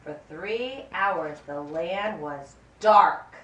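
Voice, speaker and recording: Expressive woman narrating, roomy and distant. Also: lowish voice, drawn-out words. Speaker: narrator of a puppet show. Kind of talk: scripted Bible story narration for children's puppet show. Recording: roomy, distant mic, faint background noise.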